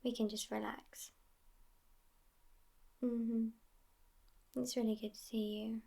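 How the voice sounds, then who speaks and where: A woman plays an immortal vampire girlfriend in an ASMR roleplay, sassy yet affectionate. Her fairly high voice stays hushed, often slipping into a whisper. She speaks in a languid, even line with a gravelly creak at the edges. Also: takes it slowly, full character voice. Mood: tender, reassuring.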